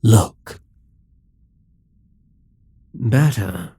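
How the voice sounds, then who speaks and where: Demon King for audio roleplay, male actor: calculating, domineering immortal ruler, husky voice, close dry sound, condescending and deliberate. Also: lowish voice, lively intonation, mellow, no echo, heavily acted. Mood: hypnotic, commanding. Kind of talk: ASMR audio roleplay, dramatic monologue.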